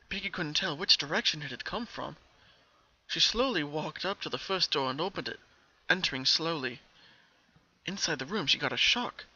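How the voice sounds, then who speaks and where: A man's voice: fairly high pitch, pushed and bright, muffled recording. A man reading a scripted story aloud as its narrator, in a dramatic storytelling style.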